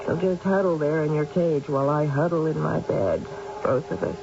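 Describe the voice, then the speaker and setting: A woman's voice: low-pitched, moderate pace, vocal fry. An actress playing a lonely, guilt-ridden, sleepless middle-aged mother in an old-time radio drama, fretful and brooding. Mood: lonely, pleading. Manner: fretful, brooding, self-pitying. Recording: dull, noisy old radio recording.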